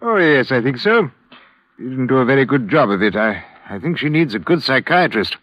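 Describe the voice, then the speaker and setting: A man playing a courteous, hurried physician in a vintage radio drama, brisk and professional. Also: mid-range voice, very animated, no echo. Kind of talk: 1940s-50s radio drama acting. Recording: dull vintage radio recording.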